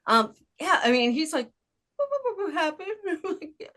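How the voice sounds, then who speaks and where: Bright, lively woman hosting a chat. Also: very high-pitched, a little slow. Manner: playful, gushing, interjecting. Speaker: show host, interviewer. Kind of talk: casual interview chat.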